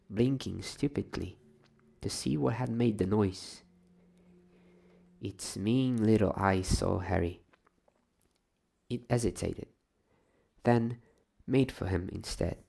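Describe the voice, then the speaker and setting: A man narrates a bedtime story in a soft ASMR style. His voice is low and husky, with plenty of creak, and he takes his time, hushed and at times whispering. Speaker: storyteller reading aloud.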